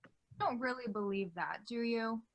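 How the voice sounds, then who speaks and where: A woman reads as a doubting high school girl with a joky streak. Her pitch is a little high, in a plain amateur table read. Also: normal speed, natural voice. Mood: skeptical. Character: skeptical, joking female high school student.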